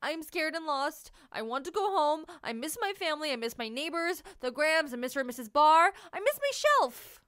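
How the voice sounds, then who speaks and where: A woman acts out a little marshmallow lad from a storybook, anxious and easily scared. The voice is high and bright, hesitant and pleading. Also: big pitch swings, normal speed, hardly any pauses, no echo, studio quality. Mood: homesick, upset. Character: small, timid, anxious young male marshmallow.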